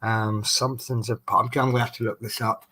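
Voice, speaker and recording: A man's voice: mid-range voice, little pitch movement, normal speed, bright, forceful tone, husky. A man with a Norfolk accent, chatting casually and rambling with lots of ums while doing a craft at home. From England. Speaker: vlogger.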